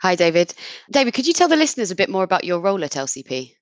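Woman co-host, low voice, casual chat, no room sound at all. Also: sing-song, rapid-fire, dry recording. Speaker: podcast co-host.

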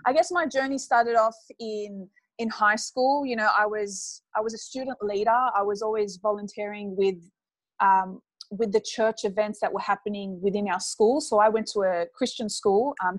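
A woman's voice: mid-range voice, dry recording, low-quality recording. A woman from Australia with an Australian accent, chatting upbeat as an interview guest and laughing often. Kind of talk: casual interview chat.

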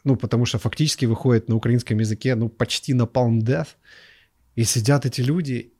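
A man hosting a casual interview, talking in quick, slangy speech full of fillers. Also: mid-range voice, fairly even intonation, mellow, breathy edge. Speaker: host.

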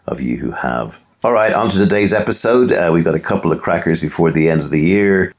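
A man from Ireland hosting a casual podcast interview, chatty with quick asides. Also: extremely low pitch. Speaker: podcast host.

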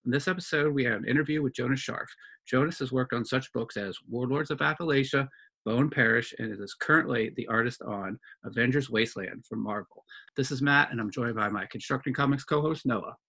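Male podcast host, bright clear tone, mid pitch, easygoing intros. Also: poor audio. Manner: friendly, slightly halting when asking questions.

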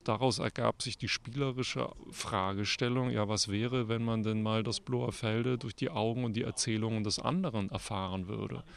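A man's voice: fairly low pitch, fairly even intonation, moderate pace, gravelly, few pauses, clean tone. A man giving spontaneous explanations in an interview, searching for words in short phrases. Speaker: theatre director, interviewee.

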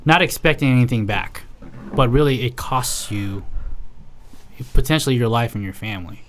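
An American man from San Francisco speaking as a podcast guest, opinionated and deliberate, often searching for his words. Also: mid-range voice, slight background noise. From San Francisco, United States. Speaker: podcast guest, healthcare provider. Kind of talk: opinionated casual discussion.

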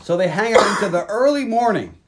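A man's voice: sits high, lively intonation, fast talker, heavy background noise. A man with a Virginia accent, co-hosting a podcast and casually retelling a movie plot with jokes. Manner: chatty, jokey, drops into voices for characters. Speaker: podcast co-host.

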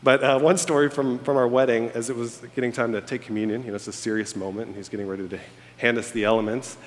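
A man's voice: mid-range voice, little pitch movement, fast talker. A man in his thirties, born in Egypt and raised in America, giving a candid, self-deprecating farewell talk to a congregation. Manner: candid, self-deprecating storytelling with frequent fillers. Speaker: church staff member giving a farewell speech. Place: church auditorium on stage. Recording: long reverb of a large hall. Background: audience laughter.

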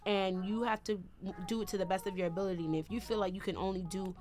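Fast-talking woman in casual chat. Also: medium pitch, steady tone, a little mellow, slight background noise. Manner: fast, emphatic, repeats herself.

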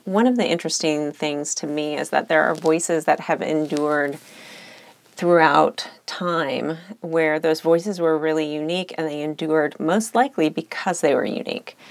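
A woman lawyer hosting a solo podcast, speaking in a reflective, measured, candid way with occasional vocal fry and uptalk. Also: deep voice, steady tone.

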